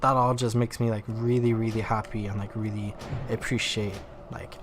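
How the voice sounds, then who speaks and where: A man's voice: on the low side, little pitch movement, moderate pace, noisy background. A man with a nasal voice from allergies, casually rambling as he comments on a video game he is playing. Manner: rambling, lots of "like" fillers. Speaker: gaming YouTuber. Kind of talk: casual let's play commentary.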